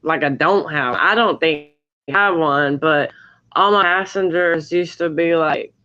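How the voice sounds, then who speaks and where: A woman with a thick Southern drawl, talking slowly and easily in a casual chat. Her low voice is smooth and full, with a dry, close sound that is a little dull and lo-fi. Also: bright, forceful tone. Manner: slow, drawling and laid-back.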